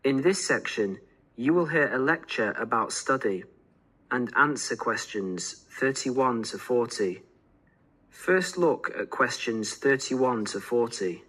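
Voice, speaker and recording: A man reading scripted exam instructions as an announcer, in a formal, measured manner. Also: medium pitch, animated, fairly bright tone, rough recording.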